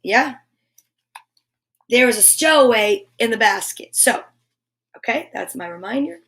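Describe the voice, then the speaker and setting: A high-pitched woman hosts a children's story time. She is effusive and encouraging, moving between chatty asides and reading aloud, with a playful way of addressing her young listeners. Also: lively intonation, unhurried, fairly bright tone. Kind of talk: live children's show chat and read-aloud.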